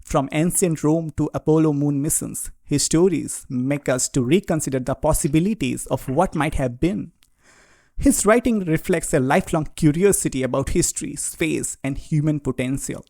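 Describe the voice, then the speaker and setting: Polite, formal podcast host, dry sound. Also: male voice, a little high, normal speed, vocal fry, darkish tone, no room sound. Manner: formal, polite, addresses guest as sir. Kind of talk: scripted intro then interview questions.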